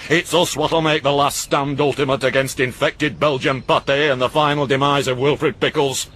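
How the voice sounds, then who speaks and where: A man's voice: mid-range voice, fairly even intonation, normal speed, a bit bright, pitched above the performer's own voice, lightly acted. A man voicing a cantankerous old uncle who mocks and rants. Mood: ranting. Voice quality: gruff, rambling, scornful. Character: cantankerous old northern uncle, sharp-tongued and mocking.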